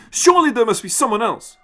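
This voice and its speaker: A man playing an anxious, high-pitched young man. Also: big pitch swings, moderate pace, noisy background. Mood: pleading. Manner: hesitant, pleading, polite. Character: earnest, anxious young man under pressure. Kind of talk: audio short story character.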